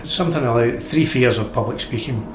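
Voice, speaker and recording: A man's voice: medium pitch, fairly quick, echoey room, noisy background. A man, a speakers club member, explaining in a conversational way as a radio interview guest, often restarting his words.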